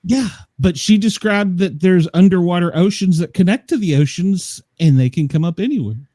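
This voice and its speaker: A man hosting a casual interview, chatty and quick to laugh. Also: high-pitched, lively intonation, mellow, no room sound, clean studio sound. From Washington, D.C.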